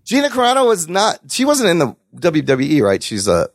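Male podcast host, enthusiastic fan delivery, very animated pitch, dry studio-close sound. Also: fairly quick, dry recording. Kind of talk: casual entertainment news chat.

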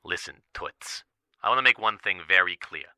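A man's voice: low-pitched, expressive, normal speed, sharp tone, clean tone, no room sound, studio quality, full character voice. An actor playing a menacing gangster leaving a threatening message in old-time tough-guy slang. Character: menacing gangster-type man, old-time mobster talk. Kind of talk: audio drama recorded message.

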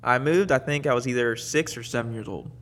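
A man from Puerto Rico, guesting on a podcast, recounting his past in a relaxed, easygoing way. His voice sits mid-range, with a long room reverb behind it. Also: mid-range voice, brisk. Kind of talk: casual interview storytelling. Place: studio.

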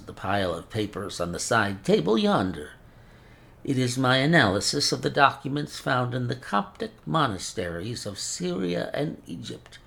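A man's voice: medium pitch, creaky, fairly bright tone. A man voicing a frail, chain-smoking old male scholar who speaks in a precise, mincing way and laments effusively. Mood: earnest.

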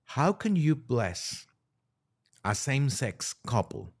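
A man reading quotes aloud and commenting on them as a podcast guest, with halting, deliberate phrasing. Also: medium pitch, very animated.

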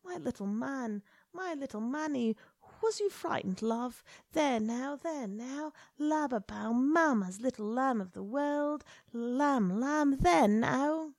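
A woman's voice: extremely high pitch, big pitch swings, slow, few pauses, a little mellow, no echo, studio quality, pitched above the performer's own voice, full character voice. A woman reader voicing a frantic, protective young mother in a storybook reading. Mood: cooing.

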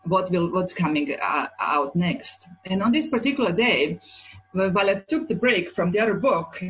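A woman in her forties from Croatia, an author answering interview questions in a thoughtful, halting way. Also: lowish voice, animated, soft background music, rough recording. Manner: thoughtful, halting, searching for words. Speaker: children's author, guest.

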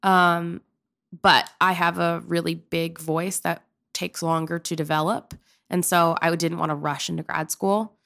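Young woman guest, lower register, fry-heavy, loose and chatty. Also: flat delivery, a little slow, fairly bright tone. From Pennsylvania. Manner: chatty and rambling, with many filler likes. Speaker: guest, opera-trained improv performer. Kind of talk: casual interview chat.

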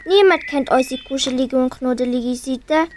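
A woman's voice: high-pitched, moderate pace, slight background noise, lightly acted. A young woman with a Swiss German accent playing a hungry, bossy wolf pack leader in an amateur radio play. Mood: eager.

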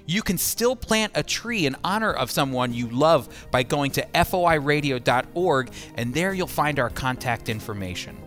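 A man's voice: on the high side, expressive, moderate pace, hardly any pauses, fairly bright tone, faint music behind. A man hosting a radio program, speaking in a conversational promotional style. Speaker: radio host and teacher.

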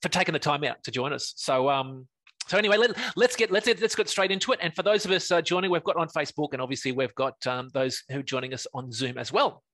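A man with a fairly high, bright voice hosts a casual online interview. He is chatty and often doubles back on his words. The sound is close and dry. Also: quick pace, dry recording. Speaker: host of a webinar success-story show. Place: live video call online.

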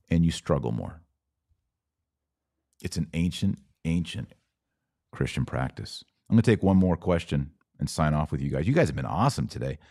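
A man hosting a podcast, teaching about religion informally, explaining with asides and emphatic pauses. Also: very deep voice, a little mellow, dry recording, clean studio sound. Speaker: podcast host. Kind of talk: informal religious teaching to a live online audience.

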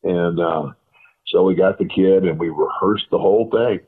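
Deep-voiced man on a tinny phone line. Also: normal speed, breathy edge. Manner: rambling anecdotes with many fillers and quoted dialogue. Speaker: guest, retired wrestler. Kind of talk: casual storytelling interview.

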